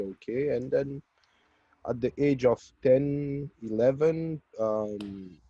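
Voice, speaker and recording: A man from Cameroon telling his life story as an interview guest, in a halting, self-correcting way, on dull webinar audio. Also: on the low side, dark tone, slightly rough, dry recording. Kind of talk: autobiographical talk in a webinar interview.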